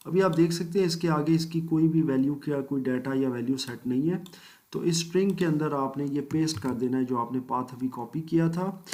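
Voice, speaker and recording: A man teaching a screen-recorded software tutorial, walking through each step methodically with polite asides and English phrases mixed in. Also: a little high, slight background noise. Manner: mixes English phrases into speech. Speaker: computer course instructor.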